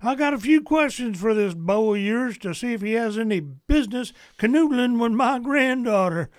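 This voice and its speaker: A man's voice: extremely high pitch, expressive, moderate pace, no echo, studio quality. A man playing a domineering, folksy old Southern grandfather ghost in a comic radio play. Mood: indignant. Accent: American South. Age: older. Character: cantankerous old Southern great-great-great grandfather, a long-dead ghost. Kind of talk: comic radio theater acting.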